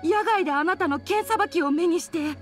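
Very high-voiced shy little yokai boy. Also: faint music behind. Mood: shy admiration. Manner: timid, polite, halting. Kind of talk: Japanese anime game voice acting.

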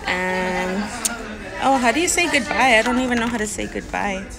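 A woman in her thirties teaching vocabulary in a casual lesson, repeating each word slowly and carefully, recorded on a phone held close outdoors. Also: medium pitch, creaky, music in the background, crowd noise. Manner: slow, careful word-by-word repetition. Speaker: language learner sharing lessons. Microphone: phone held close, selfie framing.

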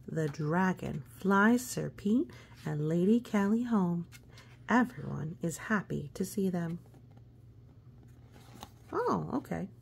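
A woman reads a picture book to young children, slightly low and mellow in tone. Her delivery is unhurried and expressive, often softening toward a hush, with little asides to the listener. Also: gravelly, a little hiss or hum. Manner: expressive, hushed suspense, often dropping to a whisper. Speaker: storybook reader. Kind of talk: children's read-aloud with gentle asides.

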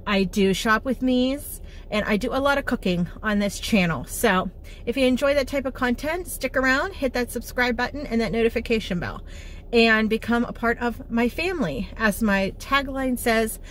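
Higher-pitched American woman chatting casually. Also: slight background noise. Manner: chatty, informal. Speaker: home cooking and lifestyle vlogger. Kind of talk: casual chatty vlog to viewers.

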